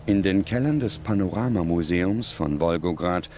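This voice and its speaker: A man delivering grave, measured scripted narration as a documentary narrator. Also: low-pitched, lively intonation, dark tone, slight background noise.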